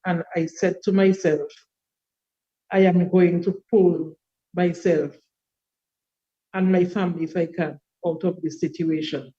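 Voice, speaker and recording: A woman, a podcast guest telling her life story in a reflective, unhurried way. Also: fairly low pitch, steady tone, dark tone, dull audio. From Dominica. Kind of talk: personal life story in an interview.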